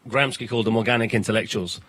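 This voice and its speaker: A man in his fifties with a South London accent, a guest at a political festival talking fast and forcefully, often repeating words as he starts a sentence. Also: lowish voice, steady tone. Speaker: political education organiser, guest. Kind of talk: passionate interview talk, swearing.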